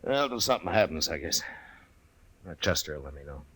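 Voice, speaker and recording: An American actor playing a steady, laconic lawman with dry humor in an old-time radio western. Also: low voice, a little fast, slightly rough, heavily acted. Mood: watchful. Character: steady, dryly humorous lawman in his prime, Old West marshal.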